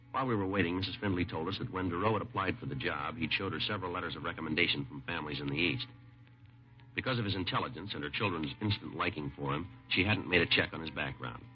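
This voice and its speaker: A muffled vintage radio recording carries a hard-nosed male detective. His voice is very low and moves very quickly. He is terse, unemotional and matter-of-fact, with a dry sardonic edge. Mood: businesslike, calm. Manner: deadpan, matter-of-fact, clipped. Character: no-nonsense male police detective, clipped, unemotional, dryly sardonic. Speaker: narrator and lead detective. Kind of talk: 1950s radio police drama acting, terse report-like narration.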